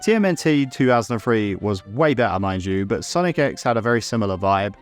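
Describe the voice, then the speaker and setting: A man's voice: fairly low pitch, expressive, a little fast. Guy doing video essay narration, wry n opinionated, casual asides, music underneath. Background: background music.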